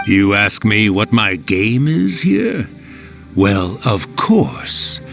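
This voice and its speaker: An actor playing the scheming summoned spirit of an author in a game dub, speaking in a slow, theatrical, gloating way. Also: male voice, fairly low pitch, very animated, creaky, over music, exaggerated voice.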